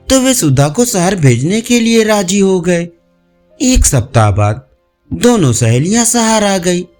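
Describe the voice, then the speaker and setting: A man narrating a scripted audio story with measured, expressive storytelling delivery. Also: high-pitched. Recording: music bed behind voice. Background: background music.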